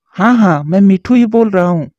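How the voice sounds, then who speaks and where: A man's voice: sits high, lively intonation, normal speed, a little mellow, dry recording, highs cut off. A man voicing a timid male parrot who speaks in a nervous, pleading way.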